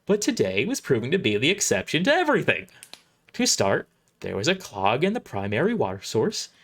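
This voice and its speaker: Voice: mid-range voice, wildly swooping pitch, a little fast. A performer voicing an irritable, imperious military starship admiral, narrating an audio drama in formal, wordy first person with stumbles over the script. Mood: aggrieved, dramatic.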